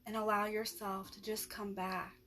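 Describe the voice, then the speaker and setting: A woman leading a guided yoga nidra relaxation as the instructor, with gentle, soothing instructions and long pauses. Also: mid-range voice, level tone, a little hiss or hum.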